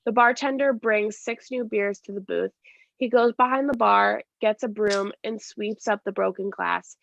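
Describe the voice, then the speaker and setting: A woman narrates stage directions in a plain, step-by-step way. Her mid-pitched voice has noticeable creak, and the recording is dry and a little dull. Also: a little slow, a bit bright, a little hiss or hum. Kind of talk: scripted narration. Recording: dry, slightly dull lo-fi sound.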